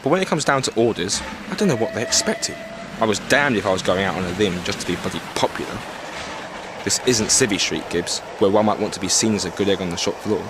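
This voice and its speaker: An actor playing a blustering, indignant British army captain of the First World War in a period audio drama, with a clipped English accent. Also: male voice, on the low side, animated, quick pace, background noise, natural voice. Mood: defensive, forceful.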